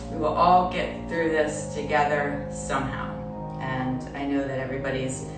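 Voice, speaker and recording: A woman from Belgium in her forties chatting casually on a livestream as an artist, with a halting manner and trailing restarts. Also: deep voice. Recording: echoey room, distant mic, music behind.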